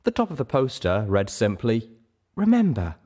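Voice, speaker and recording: A man reading a story aloud as an audiobook narrator, in an expressive scripted style. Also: lowish voice, moderate pace. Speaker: audiobook reader.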